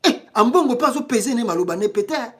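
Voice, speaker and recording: A woman's voice: fairly high pitch, lively intonation, brisk. Indignant Congolese woman commentator. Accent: Congolese French. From Congo (DRC). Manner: indignant, rhetorical questions, switching into Lingala. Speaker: political commentator. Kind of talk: impassioned political video commentary.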